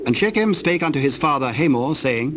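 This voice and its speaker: A man reads scripture as a solemn narrator, measured and formal. His pitch is in the middle range, and a clear bed of noise with birdsong and cooing doves runs behind him. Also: expressive.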